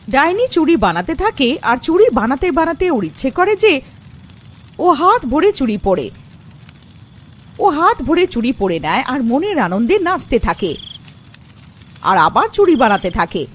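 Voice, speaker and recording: A woman narrating a scripted cartoon story. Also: high voice.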